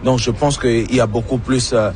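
A man's voice: medium pitch, fairly even intonation, moderate pace, darkish tone, slight background noise. A man, answering interview questions earnestly and repeating words as he searches for them. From Democratic Republic of the Congo. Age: 30s. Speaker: municipal candidate.

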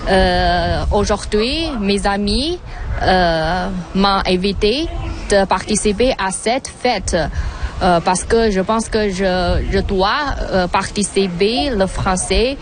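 Slow, halting Mandarin-speaking woman. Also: mid-range voice, soft background music. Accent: Chinese. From China. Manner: halting, simple learner's phrasing. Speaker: interviewee, French learner. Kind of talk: casual interview.

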